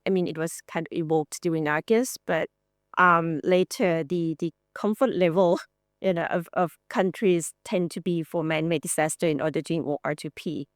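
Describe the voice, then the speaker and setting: Female academic moderator, lower register, dry recording, unscripted seminar talk. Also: no room sound. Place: seminar room.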